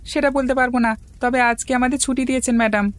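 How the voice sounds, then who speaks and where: A young village schoolboy in a cartoon, voiced by a woman. He sounds high-pitched, plainspoken and helpful, with a grumbling streak. Music plays behind the lines. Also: fairly even intonation, quick pace, lightly acted. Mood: defensive. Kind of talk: cartoon dialogue acting.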